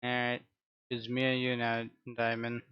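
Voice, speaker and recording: A man's voice: medium pitch, completely flat delivery, a little slow, clean tone, no echo, lo-fi sound. A man casually chatting with his viewers and a guest while he streams a game, keeping his replies short and laid-back. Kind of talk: casual chat while gaming.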